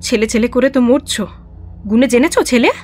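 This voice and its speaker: A woman's voice: fairly high pitch, normal speed, a little mellow, soft background music, lightly acted. A woman acting a long-suffering, defiant young wife in a Bengali audio drama, answering back with exasperation. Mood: sharp retort. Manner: retorting, evasive, exasperated.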